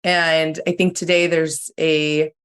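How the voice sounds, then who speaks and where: A woman co-hosting a podcast in a casual chat, her voice a little worn and hoarse. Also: low-pitched, level tone, measured pace. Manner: chatty, loose with fillers. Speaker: podcast co-host.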